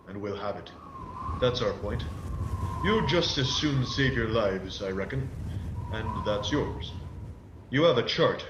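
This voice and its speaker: A man plays a wily, menacing pirate ringleader for a radio play, all folksy seadog talk and false courtesy. His mid-pitched voice sits in an echoey room, slightly muffled, with faint noise. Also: natural voice. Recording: echoey, dull, faintly noisy home recording.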